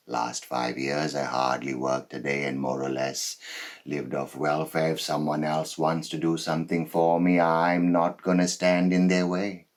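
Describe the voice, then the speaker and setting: Man acting a very deep, cold killer. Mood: cold, matter-of-fact. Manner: menacing, deliberate, with dramatic pauses and swells. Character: cold, boastful male psychopath serial killer telling his story. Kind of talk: dramatic stage monologue.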